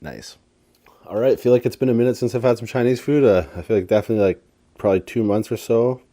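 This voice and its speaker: A man's voice: mid-range voice, quick pace, mellow. A man talking casually to viewers as an eating vlogger, with a laid-back, slangy, playful way of speaking. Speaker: food and lifestyle vlogger.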